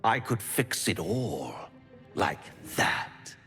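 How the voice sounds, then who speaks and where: An actor playing a suave, pompous devil in a video game, teasing and menacing in a florid, silky delivery. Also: male voice, low voice, very animated, very slow, a bit bright, in a large hall, soft background music, heavily acted. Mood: smug, teasing. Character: suave, theatrical male devil, smug, menacing tempter. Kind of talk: theatrical video game acting.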